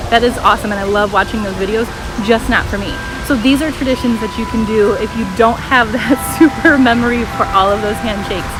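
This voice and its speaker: A woman teacher with a booming, fairly high voice hosts her vlog, talking straight to the viewer. She sounds upbeat and encouraging. Loud music plays under her the whole time. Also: fairly quick, few pauses, rough recording. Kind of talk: casual vlog advice to teachers.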